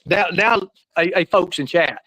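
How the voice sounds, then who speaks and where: A man's voice: high voice, lively intonation, a little slow, sharp tone, dry recording. A man on a live stream, defending himself insistently and repeating himself. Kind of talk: argumentative live chat.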